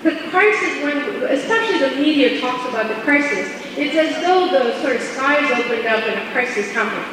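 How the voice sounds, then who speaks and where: A woman from India with an American Midwestern accent, giving an earnest, measured conference talk with fillers and restarts. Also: high voice, expressive. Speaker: conference speaker. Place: large conference hall. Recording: echoey hall recording from far off, background noise.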